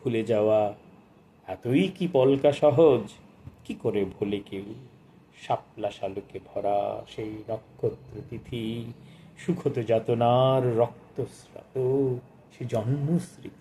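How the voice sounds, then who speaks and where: A man gives a literary talk in a warm, mellow, mid-pitched voice. His diction is formal and expressive, and he lets phrases linger as a reciter would. Faint ambient noise sits behind the voice. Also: takes it slowly. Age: 60s. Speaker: book reviewer and poetry presenter.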